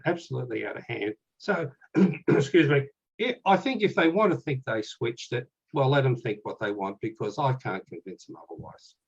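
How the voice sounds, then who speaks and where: A man with an Australian accent, a guest author talking in a casual, rambling way and clearing his throat now and then. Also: mid-range voice, muffled recording. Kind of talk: casual conversation.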